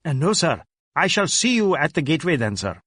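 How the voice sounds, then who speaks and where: A man's voice: on the high side, very animated, fairly quick, a bit bright, no room sound, studio quality. A man playing a deferential, hesitant police inspector in an audio crime drama. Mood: obedient. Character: meek, deferential male Indian police inspector.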